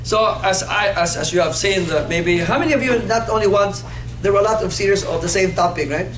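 A man in his fifties teaching anatomy in a classroom, playful and animated, quizzing his students as he goes. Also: high-pitched, fairly quick. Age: 50s. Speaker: anatomy instructor. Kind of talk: classroom lecture with call-and-response. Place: classroom in front of a whiteboard. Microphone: far camera. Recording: echoey classroom with background noise.

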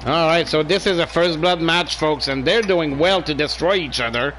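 A man calls a video game wrestling match on his own stream. His voice sits a bit high, and he mixes play-by-play with teasing jokes. There is faint noise behind him on a voice-chat mic. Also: fairly bright tone, poor audio. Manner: teasing, joking, quick to laugh. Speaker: stream host and commentator. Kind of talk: casual play-by-play and banter.

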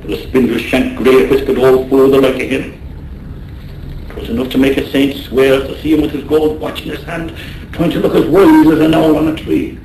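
An actor playing a loyal, warm-hearted Irish seaman in a radio drama, with colourful turns of phrase. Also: mid-range voice, little pitch movement, moderate pace, natural voice. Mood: indignant. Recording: roomy, faintly noisy radio drama.

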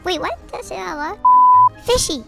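Very high-pitched kid hosting a game. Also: lively intonation, moderate pace, creaky. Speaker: host of a gaming story-time video. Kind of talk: playful banter while gaming. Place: online game voice chat. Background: music.

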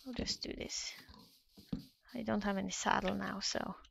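A woman's voice: medium pitch, fairly even intonation, normal speed, creaky, crowd noise. A Norwegian woman with a Norwegian accent, hesitantly commentating her own Minecraft play in a casual, nervous way. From Norway. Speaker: Minecraft let's player.

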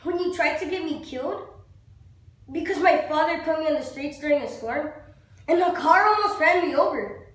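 A high-voiced, wounded young character played by a woman delivers a bitter dramatic monologue. The manner is accusing, intense and emotional, like amateur stage acting. It rings with heavy room echo. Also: expressive, moderate pace. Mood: bitter, furious. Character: bitter, wounded young person angrily confronting God. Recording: very echoey, reverberant room.

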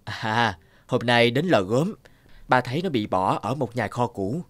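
A man voicing a kind, hardworking father in an animated cartoon, speaking in a plain, gently fatherly way. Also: medium pitch, measured pace, no echo. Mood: kindly.

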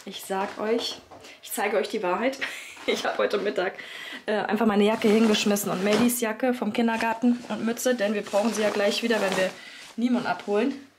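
A woman in her thirties with a slightly hoarse voice from a cold, chatting casually to viewers about her day at home while filming herself with a phone held at arm's length. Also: medium pitch, fairly even intonation, moderate pace, background noise. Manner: chatty, talks straight to viewers with many fillers. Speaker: family vlogger, mother of three. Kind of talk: casual vlog chat to camera. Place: child's bedroom and rooms at home, indoors. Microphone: phone camera held at arm's length.

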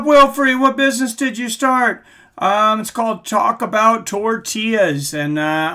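A man in his forties with an American accent, giving casual, halting, conversational play-by-play as the host of a live sports stream. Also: high voice, bright, forceful tone. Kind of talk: casual commentary mixed with chat. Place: small room, indoors, streaming at a desk.

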